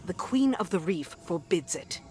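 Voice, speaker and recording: A woman's voice: on the low side, very animated, moderate pace, a little mellow. A stern, curt woman commander. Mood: threatening. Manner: curt, firm, threatening. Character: stern, hard-bitten female military commander. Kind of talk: dramatic game dialogue.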